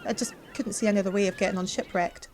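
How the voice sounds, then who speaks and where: A woman's voice: medium pitch, rapid-fire, a little mellow, a little hiss or hum, lightly acted. A woman playing a matter-of-fact mermaid castaway in an amateur comedy audio drama.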